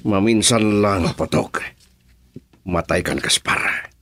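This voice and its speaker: A man's voice: low-pitched, takes it slowly, a bit bright, exaggerated voice. A man playing a menacing crime boss. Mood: threatening. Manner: threatening, commanding. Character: menacing male crime boss, commanding and threatening. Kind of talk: radio drama acting.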